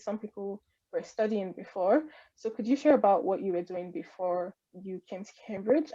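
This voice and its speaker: A woman's voice: mid-range voice, warm tone. A woman moderating an online panel over a video call, easygoing and rambling, with many fillers. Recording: dull lo-fi online call audio.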